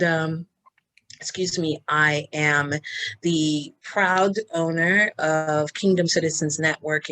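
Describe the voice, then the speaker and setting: A woman from Barbados introduces herself in a friendly, rambling way, full of fillers. Her voice sits very low. It sounds dry and close to the mic. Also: fairly bright tone, no echo, rough recording. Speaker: guest, minister and business owner. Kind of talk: self-introduction in an interview.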